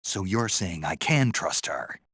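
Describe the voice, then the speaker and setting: A man playing a gruff, terse American soldier on a covert mission in a video game, with a low, gravelly, husky voice. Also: very animated, a bit bright, no echo, heavily acted. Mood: wary, questioning. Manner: terse, deliberate, dry. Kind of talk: dramatic game voice acting.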